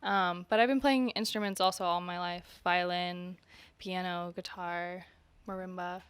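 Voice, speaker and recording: A woman's voice: on the low side, fairly even intonation, measured pace, smooth. A woman musician talking casually in an interview, informal and conversational, often saying "like" and "kinda". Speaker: musician and singer being interviewed.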